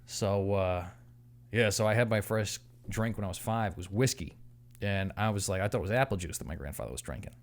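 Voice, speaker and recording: A man tells stories in a fast, tumbling rush, his voice sitting fairly low. He is a guest on a casual podcast and breaks into laughter often. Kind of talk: casual chat. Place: podcast studio.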